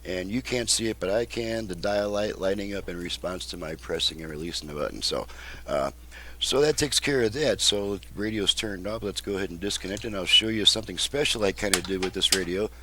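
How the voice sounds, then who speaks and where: Low-voiced man giving a methodical demo. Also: fairly quick, bright, forceful tone. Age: middle-aged or older. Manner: methodical step-by-step explaining. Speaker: car radio repair technician and presenter. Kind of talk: casual product demonstration. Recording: noisy home recording with hum.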